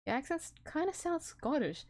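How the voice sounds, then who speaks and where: A woman's voice: high voice, big pitch swings, normal speed, darkish tone, slight background noise. An Australian woman chatting casually while streaming a game from home, giggly and self-deprecating, often tripping over her words. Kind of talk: casual let's-play commentary. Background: faint background music.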